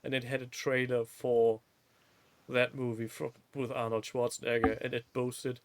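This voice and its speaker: A man's voice: medium pitch, flat delivery, normal speed, no echo. Chatty man, laughing often. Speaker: co-host. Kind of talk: casual chat.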